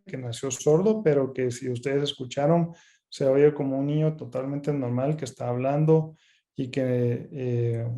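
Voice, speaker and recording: A man lectures as a medical specialist in a very soft, dark, mellow voice. He explains things step by step, often pausing halfway through a phrase. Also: mid-range voice. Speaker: ENT specialist doctor presenting a webinar.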